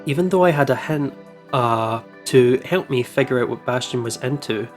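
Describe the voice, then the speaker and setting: A man's voice: mid-range voice, normal speed, soft background music. A man hosting a let's play, casually commenting and reading visual novel text aloud in an informal, jokey way. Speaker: video game let's play host.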